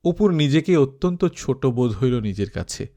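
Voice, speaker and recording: Guy reading a classic story out loud, medium pitch, audiobook narrator style. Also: expressive, normal speed. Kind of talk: scripted literary story narration.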